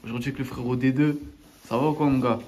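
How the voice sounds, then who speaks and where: A man's voice: mid-range voice, fairly even intonation, fast talker, darkish tone. A man hosting a casual street interview, asking friendly questions in slang. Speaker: YouTube interviewer.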